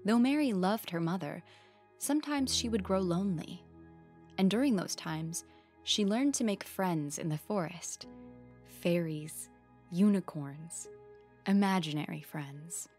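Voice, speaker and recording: A woman's voice: fairly low pitch, expressive, a little slow, darkish tone, soft background music. A woman storyteller reading a scripted fairy tale with a horror bent.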